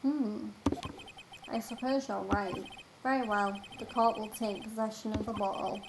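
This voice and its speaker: A woman's voice: mid-range voice, fairly even intonation, a little slow, heavy background noise, natural voice. A woman with an English accent reading the lines of a stern older male judge from a courtroom game aloud, in a formal manner. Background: game music.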